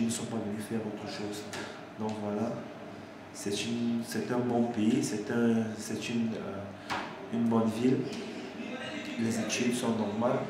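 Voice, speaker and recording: A young man from Cameroon, an engineering student, giving a halting unscripted testimonial to camera with many restarts. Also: medium pitch. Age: young adult. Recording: echoey room with steady background noise.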